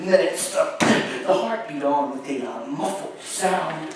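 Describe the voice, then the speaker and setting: Distant, echoey stage horror. frantic, gleeful madman killer, slow and stammering. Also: on the high side, animated. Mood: gleeful relief. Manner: halting, stammering repetitions, sudden outbursts and nervous chuckles. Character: unhinged nervous murderer narrating his gothic crime, gleeful and frantic. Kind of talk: theatrical dramatic reading of gothic horror. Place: live show at an amusement park. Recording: echoey hall from far off, some noise.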